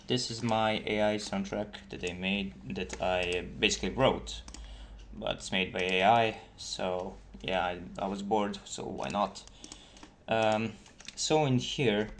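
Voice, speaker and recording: A man giving a casual, halting tutorial as a game developer, explaining things informally. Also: on the low side, a bit bright. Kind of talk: casual screen-recorded tutorial. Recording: noisy desktop mic with key clicks. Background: keyboard typing and mouse clicks.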